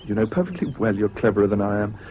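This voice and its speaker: An actor playing a calm, decent older schoolboy with an English public-school accent, speaking in a measured, kind way in a radio drama. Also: male voice, on the low side, close to an everyday voice. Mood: kindly, friendly. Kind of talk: 1970s BBC radio drama acting. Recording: muffled, hissy old radio broadcast.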